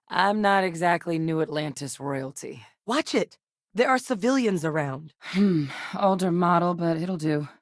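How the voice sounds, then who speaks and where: An actress playing a capable, guarded soldier-scientist in a sci-fi video game, speaking in a measured, dry, matter-of-fact way. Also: female voice, fairly low pitch, no echo, close to an everyday voice. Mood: urgent, then businesslike, wry. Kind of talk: sci-fi game character dialogue.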